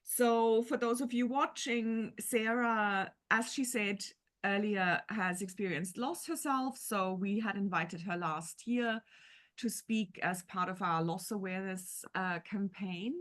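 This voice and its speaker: A woman's voice: mid-range voice, slow pace, a bit bright. A woman in her forties hosting an online parenting talk, upbeat and enthusiastic, on a video call from home. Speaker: host of parenting community talk. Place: home room with shelves.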